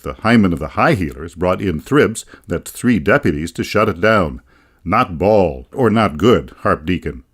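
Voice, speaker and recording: An American man narrating a scripted radio documentary in a wry, folksy storytelling manner. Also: low-pitched. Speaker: radio feature narrator and author.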